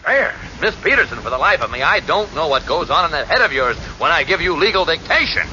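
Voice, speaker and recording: Blustering, wildly animated boss. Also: male voice, on the high side, quick pace, full character voice. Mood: exasperated. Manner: blustering, sputtering. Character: exasperated, irascible male lawyer boss. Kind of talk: 1940s radio comedy acting. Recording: muffled, noisy 1940s radio broadcast.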